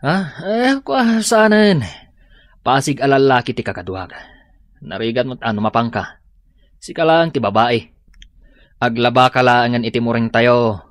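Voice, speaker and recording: A man's voice: medium pitch, unhurried, pauses often, no echo, clean studio sound, close to an everyday voice. A man with a Filipino accent playing a selfish, irritable young boyfriend, complaining and dismissive, in a radio drama. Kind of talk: Ilocano radio drama acting.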